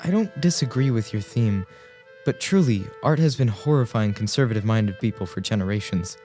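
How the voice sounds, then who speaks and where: A man plays a gentle, worried husband in a radio drama. His voice is dark and mellow, his manner earnest and formal. Light music plays behind him. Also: on the low side, lively intonation, fairly quick, natural voice. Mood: concerned. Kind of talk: radio play acting.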